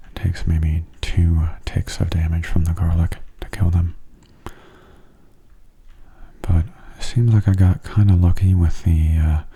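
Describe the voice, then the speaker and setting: A man giving soft-spoken ASMR commentary over a game in a hushed, breathy murmur, calm and unhurried, close to the microphone. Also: deep voice. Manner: calm, unhurried, musing aloud. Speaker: gaming ASMR host.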